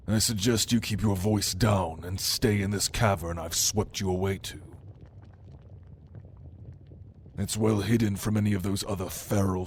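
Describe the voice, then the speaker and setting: A man voicing a prideful, menacing demon in an ASMR roleplay, speaking with deliberate mockery in low murmurs that dip toward a whisper. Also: slight background noise, close to an everyday voice. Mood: commanding, proud. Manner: slow, deliberate, menacing, mocking. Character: sinister, prideful male demon, a prince's cunning right hand. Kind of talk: ASMR roleplay monologue to the listener.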